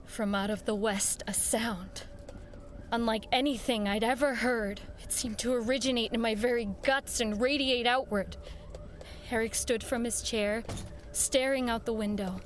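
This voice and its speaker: A woman's voice: on the high side, steady tone, measured pace, a little hiss or hum, lightly acted. An actress playing an anxious, earnest woman who recounts a horrifying ordeal in an intense, theatrical audio drama style. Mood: haunted, grave. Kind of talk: dramatic first-person horror narration with acted dialogue. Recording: music under the voice. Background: dramatic music.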